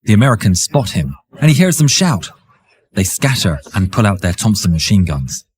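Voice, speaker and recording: A man with a very rough, raspy voice narrates a war story. His pitch sits on the low side and moves around freely as he builds suspense. The delivery is scripted and theatrical, like a dramatic history documentary. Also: very animated, normal speed, dry recording, clean studio sound.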